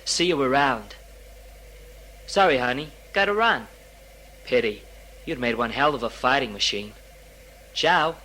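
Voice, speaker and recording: An actor playing a spoiled, wisecracking young master, cocky and slangy, in a sci-fi audio drama. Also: male voice, medium pitch, expressive, unhurried, pauses often, background noise, close to an everyday voice.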